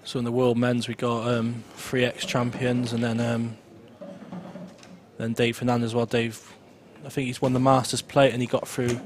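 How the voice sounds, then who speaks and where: A man co-commentating on a pool match in a casual, conversational way, often pausing with ums. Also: mid-range voice, completely flat delivery. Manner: hesitant, many ums, conversational. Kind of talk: casual live-stream commentary.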